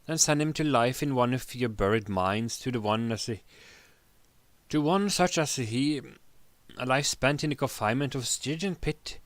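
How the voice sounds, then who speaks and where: A man's voice: medium pitch, normal speed, no echo, natural voice. A man voicing a scheming, coldly cruel royal advisor who speaks deferentially in a fantasy story reading.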